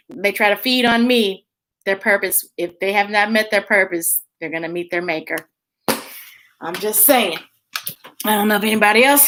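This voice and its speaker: A woman's voice: medium pitch, fairly bright tone, a little hiss or hum. An American woman chatting casually and playfully to young viewers as a children's story time host, stretching words for emphasis.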